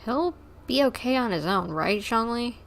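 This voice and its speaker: A woman livestreamer giving casual game commentary, chatty, expressive and gushing as she reacts. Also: on the high side, moderate pace, slight background noise.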